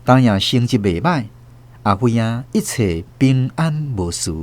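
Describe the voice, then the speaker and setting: A man's voice: medium pitch, sing-song, a little slow. A man with a Taiwanese Hokkien accent narrating a radio drama as a storyteller. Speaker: host and narrator.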